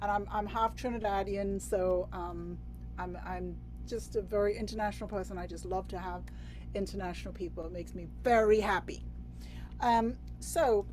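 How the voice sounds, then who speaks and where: A woman's voice: medium pitch, warm tone, rough recording. A woman from England hosting a video-call workshop, bubbly and chatty, with many fillers and restarts. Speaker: workshop host.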